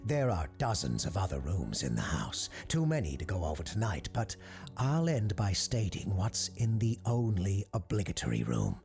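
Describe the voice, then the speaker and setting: A man with a low, creaky voice delivers eerie scripted narration over music. He is slow and deliberate, pausing for drama, with plenty of movement in his pitch. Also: huge pitch swings, a little mellow. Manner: measured, deliberate, dramatic pauses. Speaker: host and narrator.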